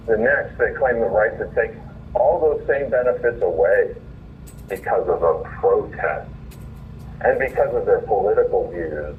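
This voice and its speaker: A man's low voice comes through a narrow, phone-like line. He sounds earnest and indignant as he delivers his opinions as a caller, a little far from the mic with faint noise behind him. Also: expressive, a little slow. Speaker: caller reading own essay. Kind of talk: reading a written opinion piece aloud, then informal remarks. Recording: muffled phone call, distant, faint noise.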